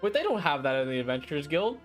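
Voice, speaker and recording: Animated male game streamer, casual reactions. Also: on the high side, fast talker, faint music behind. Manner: playful, reactive muttering and exclaiming. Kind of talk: casual live playthrough commentary.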